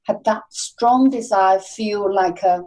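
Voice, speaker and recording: A woman, a guest on a podcast, speaking reflectively with many pauses and fillers. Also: mid-range voice, a little mellow, rough recording. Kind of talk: casual interview conversation.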